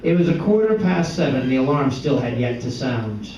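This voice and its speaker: A man reading a story aloud as dramatic, deliberate literary narration in an indoor gallery. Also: medium pitch, lively intonation. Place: echoey indoor art gallery. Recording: echoey room, distant mic, dull sound, music behind. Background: plucked guitar music.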